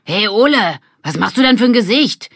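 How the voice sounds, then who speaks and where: A woman's voice: mid-range voice, lively intonation, moderate pace, vocal fry, fairly bright tone, no room sound, clean studio sound, voice pushed up. A woman voicing a cocky, teasing boy from a gang in a brash, mocking way. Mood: gloating.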